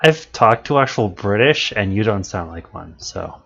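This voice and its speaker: A man's voice: fairly low pitch, lively intonation, moderate pace, creaky, pushed and bright, breathy edge. A man hosting a live-stream interview, talking in a dry, matter-of-fact way. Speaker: stream host. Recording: dull lo-fi stream audio.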